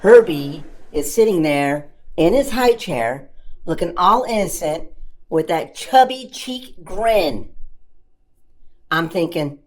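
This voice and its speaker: A woman voicing a cheeky, self-amused puppet comedian who tells stand-up stories with dramatic pauses and exaggerated emphasis. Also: lowish voice, lively intonation. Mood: mock horrified. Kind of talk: family-friendly stand-up comedy storytelling.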